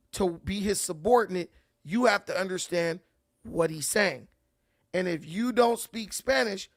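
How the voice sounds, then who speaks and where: High-voiced ranting male commentator. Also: fairly bright tone, dry recording, clean studio sound. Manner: ranting, repeats words while building a point. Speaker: commentary host. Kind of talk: casual reaction commentary.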